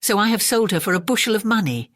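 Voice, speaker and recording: A sly storybook peasant, acted out by a narrator, quick-witted and theatrical. His voice jumps well above the reader's usual pitch, in a dry recording. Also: lively intonation, fairly bright tone, no room sound, lightly acted.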